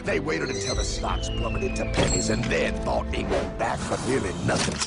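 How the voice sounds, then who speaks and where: A man voicing an excitable, zealous cartoon guide who rants against evil bankers in an emphatic, conspiratorial way. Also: medium pitch, normal speed, gravelly, exaggerated voice. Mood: intense storytelling. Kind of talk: animated cartoon dialogue. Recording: dramatic music behind the voice.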